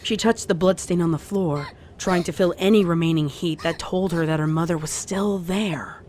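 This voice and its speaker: A woman's voice: fairly low pitch, moderate pace, a little mellow, a little hiss or hum. A woman reading scripted horror fiction as a dramatic story narrator. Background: music under the voice.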